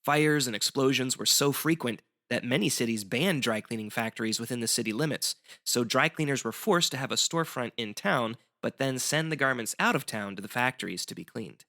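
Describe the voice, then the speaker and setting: Bright, animated man explaining history. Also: mid-range voice, brisk, few pauses. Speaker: guest explaining history facts. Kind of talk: semi-scripted educational banter.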